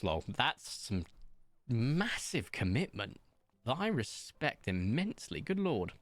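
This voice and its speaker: A man streaming from home, chatting casually in a rambling, self-mocking way and pausing often. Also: sits low. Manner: rambling, self-mocking, hesitant with many ums. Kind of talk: casual chat reading viewer comments while playing.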